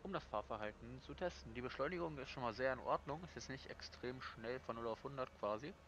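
A young man with a slight cold casually commenting on a game he is playing, chatty and quick to correct himself and apologize. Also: medium pitch, dull audio, slight background noise. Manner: relaxed, chatty, frequent self-corrections and apologies. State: slightly congested with a cold, clears throat. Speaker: gaming channel host. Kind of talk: casual let's-play commentary.

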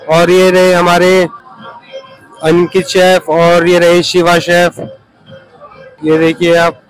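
A man with a rather high voice and a flat, even delivery. He talks informally, like a vlogger showing people around. He is recorded on a handheld phone in a large indoor venue with music playing behind him. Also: clean tone, rough recording. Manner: informal, introducing and pointing things out. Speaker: chef vlogging his hotel restaurant. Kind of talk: casual vlog walk-through. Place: large indoor hotel banquet restaurant. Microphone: handheld phone behind the camera. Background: loud music.

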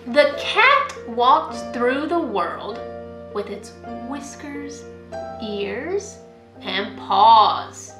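A young woman, a school librarian, reading a picture book aloud to children in an expressive, sing-song way. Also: a little high, drawn-out words, reverberant, over music. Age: young adult. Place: indoor room at home, by a window.

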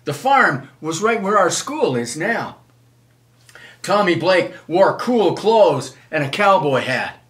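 An older man reads a children's storybook aloud in an expressive, measured way. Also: high voice. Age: older, 70s. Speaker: children's author reading own book. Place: small wood-panelled room, indoors.

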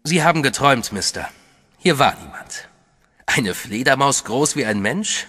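A tall, sinister man with a husky, forceful voice. He is smug and dismissive, in a horror radio play with long reverb and faint music behind. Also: medium pitch, expressive, moderate pace. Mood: mocking. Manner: smug, mocking, brushing others off. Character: tall, grim, demonic-looking man, mockingly dismissive.

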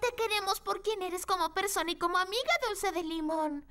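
A woman voicing a sweet, earnest little girl heroine in an animated children's series dub, with expressive, emphatic delivery. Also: very high-pitched, a little fast. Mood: warm, reassuring. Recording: music bed behind the voice.

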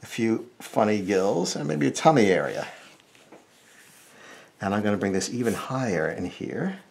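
A man's voice: on the low side, expressive, normal speed, gravelly. A middle-aged American man giving a casual step-by-step drawing lesson, thinking aloud informally as he works. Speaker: cartoonist, drawing instructor.

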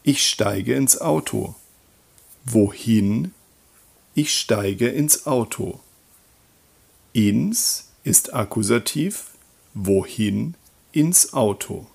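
A man teaching German grammar in a slow scripted voice-over, reading example sentences and repeating them. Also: medium pitch, expressive, gravelly, darkish tone, breathy edge.

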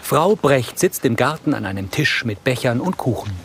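A man's voice: medium pitch, animated, moderate pace, a bit bright, a little hiss or hum. A man reading scripted descriptive narration for a crime drama.

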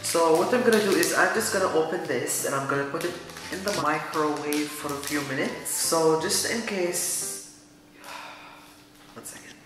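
Teen boy, Arabic accent, somewhat high voice, self-mocking vlog host, echoey room. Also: unhurried, music in the background. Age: teens. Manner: goofy, rambling, self-mocking. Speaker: YouTuber. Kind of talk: casual DIY vlog. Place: small room, indoors. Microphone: camera mic at close range.

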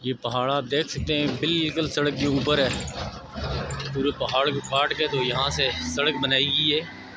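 A man with a Pakistani Urdu accent, walking a mountain road and calmly pointing out sights to his viewers. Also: medium pitch, noisy background. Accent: Pakistani Hindko-area Urdu. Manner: unhurried, pointing things out to viewers. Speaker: travel vlogger. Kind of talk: casual walking tour narration.

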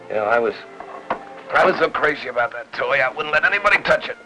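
An actor playing a bedridden, menacingly possessive husband in a 1950s film melodrama, speaking slowly and haltingly in a hush. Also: male voice, mid-range voice, animated, natural voice, low-quality recording. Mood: wistful, intense. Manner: slow, halting, hushed, often near a whisper. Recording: old film soundtrack, music behind.

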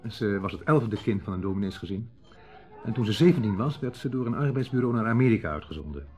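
An actor playing a genial, candid friend in a Dutch radio drama, talking conversationally and pausing for emphasis. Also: male voice, fairly low pitch, expressive, darkish tone, lightly acted. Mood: explaining. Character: Henry, genial, candid engaged man, jokey friend.

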